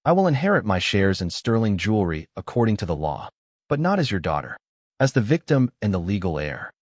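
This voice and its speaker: A man voicing a cold, resolute young heiress in an audiobook, speaking in firm, measured declarations. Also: lowish voice, sing-song, no echo, clean studio sound.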